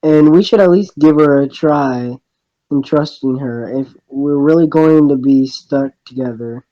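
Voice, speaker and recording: A man doing an amateur dramatic reading of first-person narration and dialogue, halting and stumbling over his lines. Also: a little high, highs cut off. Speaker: reader voicing the protagonist of a fan visual novel.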